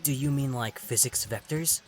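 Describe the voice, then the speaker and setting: An actor playing a put-upon, grumbling young underling in a visual novel game. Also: male voice, mid-range voice, moderate pace, faint music behind, lightly acted. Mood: dry.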